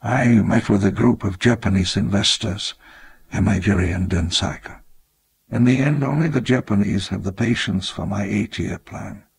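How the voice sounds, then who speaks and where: A gravelly elderly dreamer. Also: male voice, lowish voice. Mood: reflective. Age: older. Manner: reflective, measured, storytelling. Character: elderly male theme park founder, wistful and grand. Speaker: narrator of a memoir. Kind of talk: scripted memoir narration in a game.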